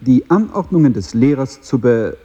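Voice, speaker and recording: An actor playing a man stiffly and haltingly reciting school regulations in a radio play. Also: mid-range voice, very animated, mellow, slightly rough, muffled recording.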